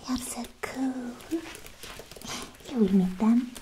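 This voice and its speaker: Woman playing a very slow, whispery lovestruck alien. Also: fairly high pitch, warm tone. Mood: reassuring. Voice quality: breathy, whispery. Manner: halting, doting, earnest. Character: clingy, lovestruck, naive female alien girlfriend. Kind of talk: soft-spoken ASMR roleplay, half whispered. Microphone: close microphone. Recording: close-miked with audible background hiss.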